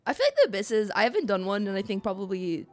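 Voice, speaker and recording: A woman's voice: medium pitch, very animated, quick pace, soft background music. A woman streamer chatting casually about her play in a rambling, self-deprecating way. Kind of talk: casual chat on a game livestream.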